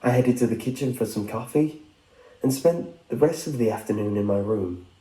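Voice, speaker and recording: A man reading a scripted first-person story as a narrator, with a dark, muted tone. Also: mid-range voice, normal speed. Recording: roomy sound with music behind. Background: background music.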